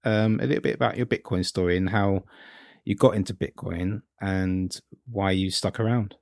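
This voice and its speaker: A man's voice: deep voice, fairly even intonation, moderate pace, a little mellow, no echo, studio quality. A man hosting a podcast, asking casual questions in a friendly, hesitant way. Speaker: podcast host.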